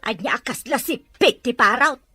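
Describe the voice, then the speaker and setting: A woman playing a caring young wife and daughter who speaks in a lively, brisk way in an audio drama. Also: a little high, breathy edge, no room sound, faint music behind.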